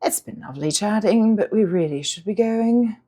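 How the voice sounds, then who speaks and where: A woman's voice: medium pitch, lively intonation, normal speed, warm tone, natural voice. A woman voicing a haughty, scheming male dragon with a drawling, snarling manner in an audiobook story. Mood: sly.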